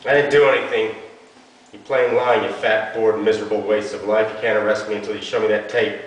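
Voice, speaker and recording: A man's voice: lowish voice, level tone, a little fast, lightly acted. Man acting a defiant, scared street kid, echoey hall. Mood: defiant fury. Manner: pleading, then snarling and crude. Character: defiant, frightened homeless young street kid. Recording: echoey hall from far off, hiss.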